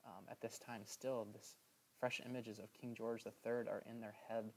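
A man teaching a history lesson over slides in a casual, chatty way, filling pauses with "um" and checking in with "right?". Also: lowish voice, little pitch movement, a little mellow, slight background noise, low-quality recording. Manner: chatty, many "um"s and "right?" asides.

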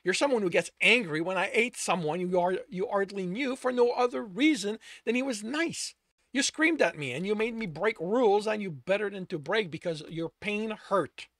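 An exasperated, forceful friend from a fantasy audiobook, played by a male reader. He pleads and snaps with big swings in pitch, and the voice is raised high with a creaky edge. The delivery is emphatic and insistent. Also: wildly swooping pitch, fairly quick, few pauses, no room sound, full character voice. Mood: angry pleading.

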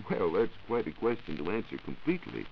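A man's voice: low-pitched, sing-song, fairly quick, vocal fry. A man, a 1940s radio science reporter, giving scripted explanations in formal wording. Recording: dull, noisy 1940s radio recording.

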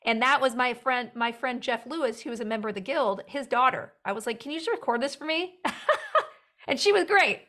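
A woman in her forties with a young-sounding voice, chatting as a guest in a casual interview, animated and quick to laugh. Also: fairly high pitch. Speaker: actor and writer guest. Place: home room with bookshelves. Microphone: headset.

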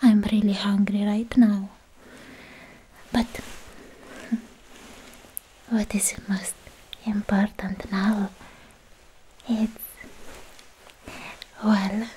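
A young woman playing a lovestruck, bashful anime swordswoman in a coy, hesitant, breathy whisper for an ASMR roleplay, close to the microphone. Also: medium pitch, dark tone, a little hiss or hum, low-quality recording. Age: young adult.

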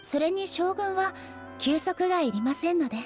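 An aloof, composed goddess in an anime game. Her woman's voice sits high and stays polite and formal, every phrase measured and proud. Gentle game music plays behind her. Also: expressive. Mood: calm, curious.

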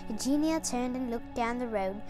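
High-pitched woman reading a children's story. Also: music in the background. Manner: expressive, deliberate reading for beginning readers. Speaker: storybook reader. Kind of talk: read-aloud children's story narration.

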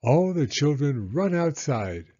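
Older man reading stories, very lively pitch. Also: lo-fi sound. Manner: expressive, deliberate phrasing for young listeners. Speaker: storyteller, elementary school teacher. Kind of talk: children's story read-aloud.

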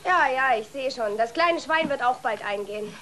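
A woman's voice: high voice, animated, moderate pace, noisy background. A woman playing a complaining, scornful farm maid in a children's radio play. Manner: complaining, sharp.

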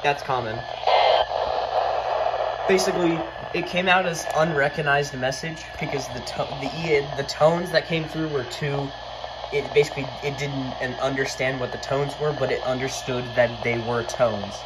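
A man's voice: medium pitch, fairly even intonation, normal speed. Guy doing a laid back how-to, mid voice, talks it through as he goes, really noisy phone video. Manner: informal, thinking aloud. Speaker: video creator giving a demonstration. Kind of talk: casual hands-on tutorial narration. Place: indoors at a wooden desk.

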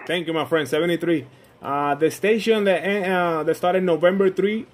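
A man's voice: on the high side, moderate pace, slight background noise. A friendly man working amateur radio contacts, repeating call signs and phrases in a casual way. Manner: friendly, repeats himself, often says "my friend".

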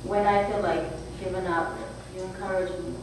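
A woman at a podium reading her own short heartfelt piece, halting and repeating phrases as she goes. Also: lowish voice, level tone. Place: brick-walled hall with a seated audience. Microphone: podium mic from a far camera. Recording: roomy, distant, noisy hall recording.

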